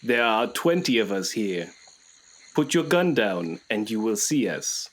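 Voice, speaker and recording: An actor playing a calm, commanding jungle tribesman in a radio play, measured and formal. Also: lowish voice. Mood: quietly commanding.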